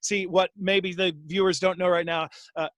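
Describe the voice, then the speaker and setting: Casting: talk show host, man, slightly high voice, chatty, dry mic. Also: little pitch movement, normal speed, a bit bright, no echo. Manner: filler-heavy, says "like" a lot. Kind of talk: casual chat. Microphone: own microphone.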